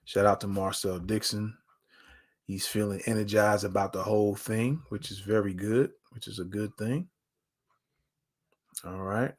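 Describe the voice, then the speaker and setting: Low, easygoing livestream host man. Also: fairly even intonation, measured pace, pauses often. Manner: loose, rambling, easygoing. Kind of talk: casual live commentary.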